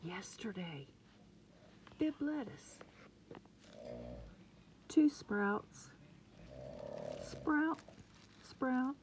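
A woman chatting excitedly about her seedlings, sometimes dropping to a murmur, recorded on a handheld phone indoors. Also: high voice, very slow, pauses often, slight background noise. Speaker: gardening vlogger. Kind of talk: casual home gardening vlog. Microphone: phone camera held close.

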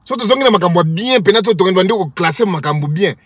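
A man talks straight into a phone held at arm's length from the seat of a parked car. His voice sits high and a little husky, and the sound is close and dry. He mocks and insults his target with heavy emphasis. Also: expressive, fast, fairly bright tone, dry recording. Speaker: commentary vlogger. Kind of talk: mocking commentary vlog in Lingala and French. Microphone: phone camera at arm's length.

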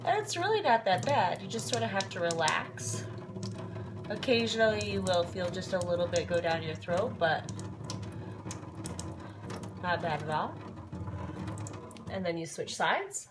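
A woman in her thirties, a little hoarse from a cold, chatting casually with lots of ums as she shows a home remedy in her kitchen. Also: on the low side, lively intonation, measured pace, pauses often, noisy background. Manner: chatty, with many ums and uhs. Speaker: natural health blogger. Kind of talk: casual how-to vlog. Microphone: built-in camera mic, close and sometimes farther off.

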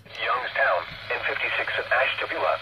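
A man's voice: medium pitch, animated, normal speed, very noisy. An American man reading a scripted weather broadcast as an announcer, heard through a radio speaker.

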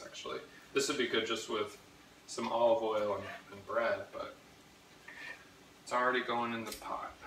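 A man's voice: mid-range voice, recorded from a distance, slight background noise. A man in his thirties in a casual cooking vlog, rambling with many fillers as he chops, recorded in his home kitchen by a camera across the room. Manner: rambling, relaxed, many fillers while chopping. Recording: echoey kitchen, voice from off-mic.

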